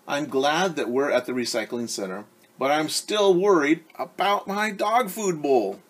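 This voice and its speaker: A man's voice: on the high side, animated, a little slow, slightly rough. A man in his forties reading a picture book aloud as a teacher, stopping to explain things simply and ask the children questions. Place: living room at home, indoors. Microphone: device camera at about arm's length.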